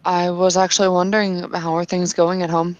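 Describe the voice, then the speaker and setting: A woman's voice: on the low side, flat delivery, no room sound, highs cut off, natural voice. Woman voicing a shy werewolf woman. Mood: hesitant. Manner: hesitant, halting questions. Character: female werewolf, shy, unsure of her English.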